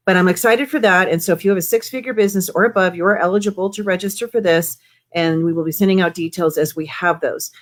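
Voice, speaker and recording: A woman's voice: on the low side, fast. A woman hosting a live show, going over announcements in a chatty, encouraging way. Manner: chatty and encouraging, many fillers. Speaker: host.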